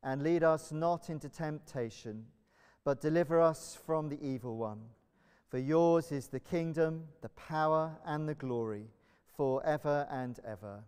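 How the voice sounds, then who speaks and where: Male church minister, English, middle-aged. Clear, resonant delivery to a congregation, solemn and measured, in a big echoing hall. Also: on the high side, a little mellow. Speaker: church minister leading a funeral service. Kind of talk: liturgical prayer and announcements to a congregation. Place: large church, indoors.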